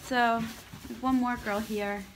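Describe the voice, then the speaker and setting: A woman's voice: on the high side, steady tone, measured pace, noisy background. Chatty woman filming in a barn. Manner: chatty, explaining as she films. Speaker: alpaca farmer and vlog host. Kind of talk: casual explanatory vlog. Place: cold wooden barn indoors. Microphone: phone held at arm's length.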